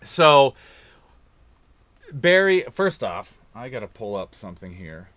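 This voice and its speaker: A man's voice: mid-range voice, very animated, slow, a bit bright, no room sound. An upbeat, joking man from Kansas hosting a casual chat in a recording studio. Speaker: host.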